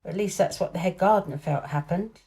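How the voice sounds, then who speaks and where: A woman's voice: on the low side, a little fast, darkish tone. A woman reading a children's storybook aloud as a scripted narration. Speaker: storybook reader.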